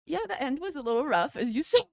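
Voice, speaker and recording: A woman in her thirties from the Czech Republic, interviewed as a race champion, laughing often and pausing on fillers. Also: high voice, animated, creaky, a little mellow, no echo, clean studio sound. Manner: giggly, hesitant, many fillers. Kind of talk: interview answers. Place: race broadcast studio.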